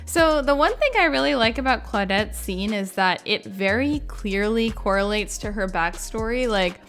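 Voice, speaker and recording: A woman's voice: medium pitch, lively intonation, moderate pace, fairly bright tone, faint music behind. A woman hosting a gaming video, giving casual, chatty and informal commentary. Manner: chatty, informal, frequent "like" and "you know". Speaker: gaming video creator and host.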